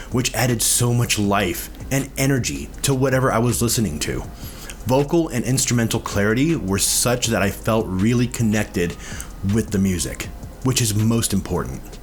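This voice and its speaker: A man's voice: on the low side, slight background noise. A man reading a scripted product review as a narrator and reviewer.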